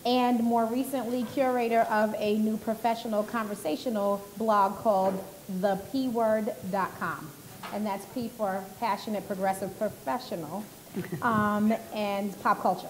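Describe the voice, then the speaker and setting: A woman introduces herself on a panel, upbeat and chatty. Her voice sits at a middle pitch, and she peppers her talk with ums. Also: steady tone, measured pace, a little hiss or hum, low-quality recording. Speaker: panelist, agency founder.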